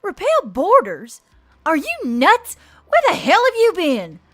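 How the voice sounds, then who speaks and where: Young female gunner, performed by a narrator woman: piercingly high, indignant, brash, dry close sound over faint music. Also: huge pitch swings, a little slow, fairly bright tone, no room sound, heavily acted. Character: hot-tempered, foul-mouthed young female helicopter gunner.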